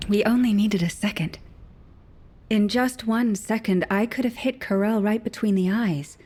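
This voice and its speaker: A woman's voice: mid-range voice, normal speed, a little mellow, husky, close to an everyday voice. An actress playing a blunt, frustrated female sniper in a video game, intense and accusing.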